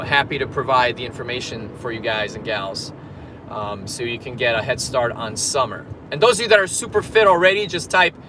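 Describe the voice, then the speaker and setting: A man's voice: mid-range voice, background noise. A man from Poland, a fitness coach, chatting casually on a livestream while driving and reading viewer comments aloud. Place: in a car in traffic.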